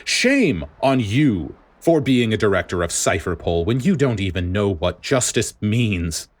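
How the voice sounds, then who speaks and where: A man performs a stern male officer from an audio story, full of righteous fury. He snarls with heavy, contemptuous emphasis, his pitch moving forcefully up and down. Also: animated, unhurried. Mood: snarling contempt. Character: stern, furious male military officer obsessed with justice.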